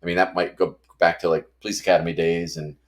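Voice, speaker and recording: Forty-year-old man, podcast co-host, low voice, rapid rambling self-deprecating talk. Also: level tone. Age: 40s. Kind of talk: casual chat.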